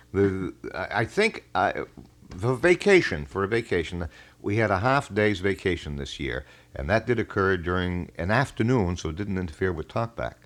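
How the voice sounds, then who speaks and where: A middle-aged American man with a fairly low voice hosts a live radio call-in show. He talks in a folksy, wordy manner, phrasing things haltingly as he chats with listeners from the studio. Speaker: radio talk show host. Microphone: studio broadcast microphone.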